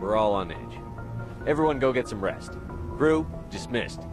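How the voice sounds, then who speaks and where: An actor playing a hard-nosed military commander in a space video game, curt and authoritative. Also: male voice, medium pitch, lively intonation, normal speed, over music, close to an everyday voice.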